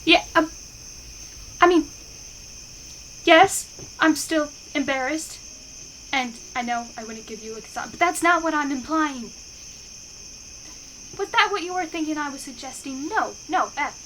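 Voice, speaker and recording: A woman playing a shy, flustered, affectionate best friend in an intimate ASMR roleplay, speaking haltingly and bashfully. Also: sits high, animated, fairly bright tone, poor audio. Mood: shy, embarrassed. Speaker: voice actor in an audio roleplay. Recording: clear insect and outdoor ambience noise. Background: crickets and night ambience.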